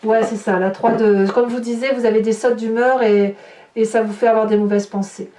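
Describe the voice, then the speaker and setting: Woman tarot reader, higher voice, set back from the mic in an echoey room; emphatic, reassuring delivery. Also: steady tone, warm tone, distant mic. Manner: slow, emphatic, reassuring, addresses viewers directly. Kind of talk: tarot reading to camera. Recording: roomy, from off-mic.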